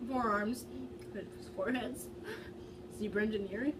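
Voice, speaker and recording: A woman's voice: medium pitch, measured pace. A woman reading fan fiction aloud dramatically, stumbling over words and breaking into giggly casual asides. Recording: distant mic with faint background noise.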